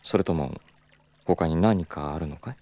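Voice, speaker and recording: A man playing a suave, wealthy young gentleman in an audio drama, speaking in a knowing, teasing and patronizing way. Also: very deep voice, measured pace, dry recording.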